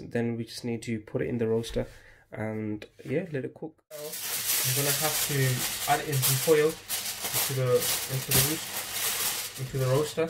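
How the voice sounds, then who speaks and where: A man hosting a home cooking channel, talking viewers through a recipe step by step in a steady, instructive way from his kitchen. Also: medium pitch, heavy background noise. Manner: steady, instructive, often addresses viewers as guys.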